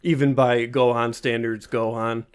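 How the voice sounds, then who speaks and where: A man's voice: medium pitch, level tone, unhurried, smooth. A young man co-hosting a podcast in casual chat, adding short, dry remarks. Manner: dry, brief interjections. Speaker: podcast co-host.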